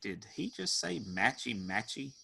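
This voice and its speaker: A man's voice: mid-range voice, very animated, unhurried, vocal fry, pushed and bright, breathy edge, heavily acted. A man reading the part of a cocky, self-satisfied band member in a table read, with a dry manner. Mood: mocking.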